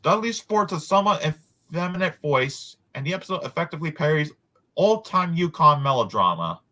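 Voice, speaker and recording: Bright-voiced man with lively, swinging intonation. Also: fairly high pitch, fairly quick. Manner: stumbles over words and repeats them. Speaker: host of a group read-aloud. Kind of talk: reading a book aloud with casual asides.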